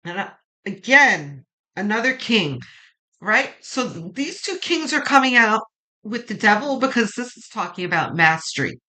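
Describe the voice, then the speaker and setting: A woman with a low voice that turns gravelly when quiet, slowly and reassuringly reading tarot cards and thinking aloud. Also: big pitch swings. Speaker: tarot reader.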